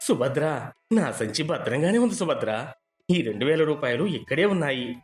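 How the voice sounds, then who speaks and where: A man's voice: fairly high pitch, big pitch swings, normal speed, faint music behind. A man playing a humble, loyal poor garden worker in a moral story, speaking plainly and with deference. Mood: quietly relieved. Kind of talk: dramatised moral story dialogue.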